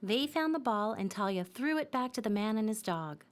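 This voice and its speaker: A woman reads a children's storybook as narrator. Her voice is on the high side and very animated, rising and falling through simple, expressive sentences. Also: moderate pace.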